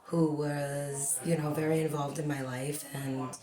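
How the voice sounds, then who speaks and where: A woman in her forties from the Bahamas talks freely and at length, full of "you know" and "like". Her voice sits low for a woman. The room gives it a noticeable echo. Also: little pitch movement, a little slow, a crowd in the background. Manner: chatty, talks a lot with many fillers. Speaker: guest. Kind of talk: casual interview.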